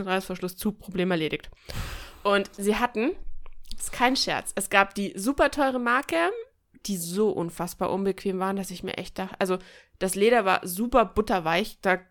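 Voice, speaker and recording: Woman, medium-pitched voice, expressive casual storytelling, chatty podcast host, joking. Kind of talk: casual chat, personal storytelling.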